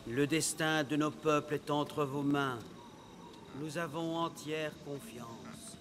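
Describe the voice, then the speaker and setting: An actor playing a solemn Gallic priest, declaiming an invocation to the gods in an animated historical drama. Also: male voice, on the high side, noisy background. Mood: reverent, imploring. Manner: slow, declaimed incantation.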